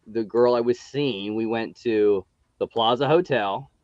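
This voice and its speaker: A man's voice: medium pitch, measured pace, a little mellow, dry recording, highs cut off. A man in his forties hosting a casual chat, easygoing and telling stories. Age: 40s. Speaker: host.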